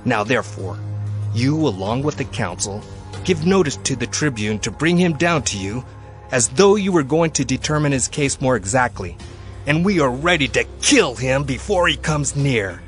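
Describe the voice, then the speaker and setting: A man's voice: medium pitch, animated, normal speed, faint music behind, lightly acted. A man playing a grim, zealous conspirator in a dramatized Bible reading, resolute and formal. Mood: grimly resolved.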